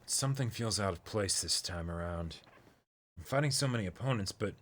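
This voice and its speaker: A man's voice: fairly low pitch, expressive, brisk, fairly bright tone. A man casually reading a comic aloud and commenting on it as co-host of a read-along.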